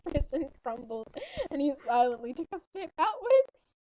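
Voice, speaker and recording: A woman's voice: high voice, big pitch swings, normal speed, a crowd in the background, poor audio. A woman reading a story aloud in a mock-dramatic way, giggly and breaking off to react in casual disbelief. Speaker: co-reader in a fanfiction reading video.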